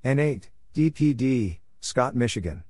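Male narrator for code practice drills. Husky, dark tone, spelling out letters and brief exchanges in short phrases. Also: medium pitch, expressive, unhurried, no room sound, studio quality. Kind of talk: reading out call signs and short radio exchanges.

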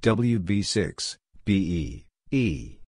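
A man reading out radio call signs and short exchanges letter by letter in clipped, measured phrases, as a scripted announcer. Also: fairly low pitch, expressive, darkish tone, dry recording, studio quality. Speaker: Morse code practice announcer.

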